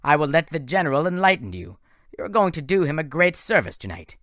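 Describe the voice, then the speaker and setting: A man voicing a menacing male monster who speaks slowly and theatrically, gloating over his captives. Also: on the high side, big pitch swings, a bit bright, no room sound, studio quality, pitched above the performer's own voice.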